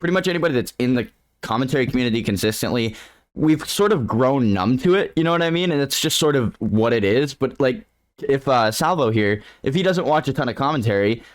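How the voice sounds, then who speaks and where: Twenty-something guy hosting a call, goes on and on with "like" everywhere, middle pitch. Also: animated, fairly quick. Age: 20s. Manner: long winding points full of "like". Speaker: host. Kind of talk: casual chat on a call. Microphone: video call.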